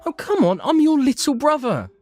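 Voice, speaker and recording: A man's voice: very high voice, big pitch swings, normal speed, a little mellow, soft background music, voice pushed up. A man voicing an arrogant, whiny younger brother in a manga dub, defensive and pleading. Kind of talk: manga dub character acting.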